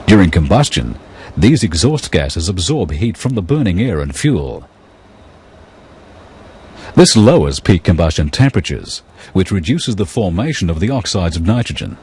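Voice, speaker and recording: A man reading scripted technical narration for an educational film in a measured, explanatory, impersonal manner. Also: low voice, very animated, darkish tone, husky.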